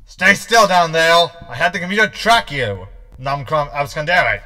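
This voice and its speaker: A man's voice: a little high, moderate pace, background noise, natural voice. A man playing a gleefully homicidal hologram with a theatrical, taunting manner in a science fiction comedy audio drama. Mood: menacing. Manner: theatrical, taunting, peppered with Latin phrases. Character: gleefully homicidal male hologram hunting humans.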